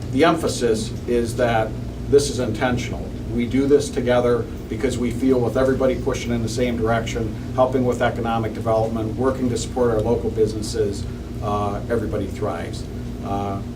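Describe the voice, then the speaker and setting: A man speaking as mayor at a ribbon cutting, halting with many fillers and restarts, in a shop full of people. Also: medium pitch, flat delivery. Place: indoor shop with crowd. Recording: distant mic, loud room noise.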